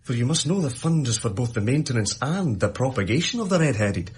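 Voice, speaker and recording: An actor playing a pompous, effusive official in a radio drama, grandiose and theatrical in delivery. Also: male voice, medium pitch, animated, a little fast, breathy edge. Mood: officious. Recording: crowd murmur behind the voice.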